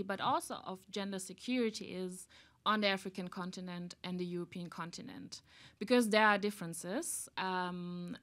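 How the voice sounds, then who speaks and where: A woman's voice: mid-range voice, a bit bright. A young woman from Germany with a German accent, speaking as a researcher on a conference panel, thoughtful with fillers, into a table microphone. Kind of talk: panel talk.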